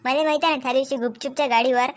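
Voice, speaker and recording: A woman's voice: high voice, fairly even intonation, rapid-fire, natural voice. A woman voicing a cheeky, lazy schoolboy in a comic cartoon, with a Vidarbha Marathi accent and impudent, wheedling backtalk. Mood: taunting.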